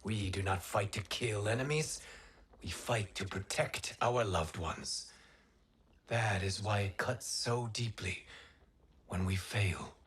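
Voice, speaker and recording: An actor playing a calm, noble warrior god in a video game cutscene, speaking formally and earnestly with solemn compassion. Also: male voice, lowish voice, slow, slightly rough, full character voice.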